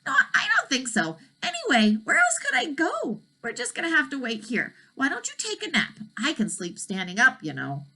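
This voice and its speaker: A woman voicing a timid, weary male dragon in a storybook, in a high, whiny and tearful voice. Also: wildly swooping pitch, moderate pace, hardly any pauses, sharp tone, heavily acted. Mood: calm.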